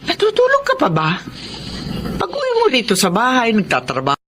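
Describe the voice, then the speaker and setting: A woman's voice: on the high side, huge pitch swings, brisk, fairly bright tone, husky, full character voice. A woman playing a worried, caring middle-aged mother in a Filipino radio drama.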